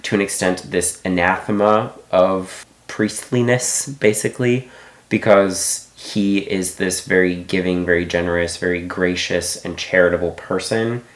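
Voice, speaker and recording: Man, very low voice, casual book review, rambling restarts, echoey room. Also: fairly even intonation, measured pace. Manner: rambling, thinking aloud with many restarts.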